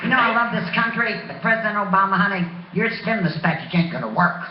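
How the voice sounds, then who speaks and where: A woman's voice: medium pitch, expressive, fast, roomy sound, highs cut off, background noise. A woman over sixty performing brash, sassy stand-up comedy with punchy delivery, recorded off a television. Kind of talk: live stand-up comedy routine. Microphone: handheld stage microphone, re-recorded from TV speaker.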